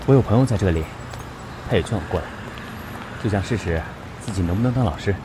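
A man playing a courteous, caring young law lecturer in an audio drama, speaking in a calm, measured way. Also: on the low side, background noise, close to an everyday voice. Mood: composed, reflective. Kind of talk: Mandarin audio drama acting. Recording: music bed under the voice.

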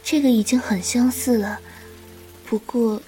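An actress plays a frail young woman in a period wuxia radio play. Her voice is high and soft, turning breathy with weakness, and she speaks with weary tenderness. Music plays behind her. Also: fairly even intonation, measured pace, close to an everyday voice, poor audio. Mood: tender, protesting. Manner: gentle, weary, tender, coaxing. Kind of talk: Chinese period wuxia audio drama acting.

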